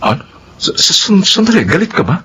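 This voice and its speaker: An actor playing an innocent, easily flustered boyfriend in a melodramatic Tagalog drama, with earnest, hesitant delivery. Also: male voice, sits high, big pitch swings, moderate pace, bright, forceful tone, slightly rough, exaggerated voice. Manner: stammering, earnest. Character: flustered, innocent boyfriend wrongly accused, pleading.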